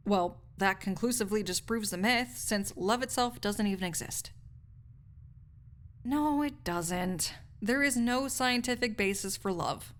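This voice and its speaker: Snarky young female academic, played by a woman, mid-pitched, cynical and pedantic, for an audio roleplay. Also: natural voice. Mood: dismissive, lecturing. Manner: pedantic, lecturing, wordy with scholarly vocabulary. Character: snarky, cynical young woman research assistant, dismissive of love. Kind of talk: intimate audio roleplay acting, conversational monologue to listener.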